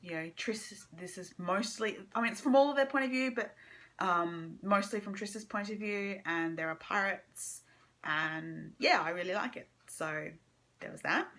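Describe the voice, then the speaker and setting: A young woman giving a casual, halting book review to camera, informally trailing off and circling back. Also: mid-range voice, sharp tone. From Australia.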